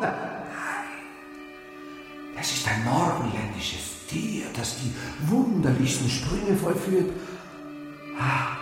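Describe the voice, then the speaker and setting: Renaissance painter: high voice, slow archaic declamation, proud yet guilt-haunted, radio drama in deep reverb. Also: male voice, full character voice. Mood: entranced wonder. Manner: archaic, solemn, declamatory speech. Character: proud, defensive Renaissance painter, guilty and terror-stricken in visions. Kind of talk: theatrical German radio drama acting. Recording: very echoey long reverb, music behind.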